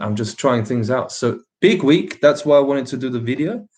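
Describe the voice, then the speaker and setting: A man's voice: mid-range voice, darkish tone. A man with a slightly British accent talks casually to his livestream viewers. He fires off emphatic rhetorical questions and laughs often between his remarks, in a mid-range voice. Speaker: livestream host.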